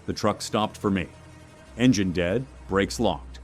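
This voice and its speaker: A man with a very low voice narrates a scary first-person tale. He delivers it in measured, clipped phrases that hold the suspense. Soft music plays underneath. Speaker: horror story narrator.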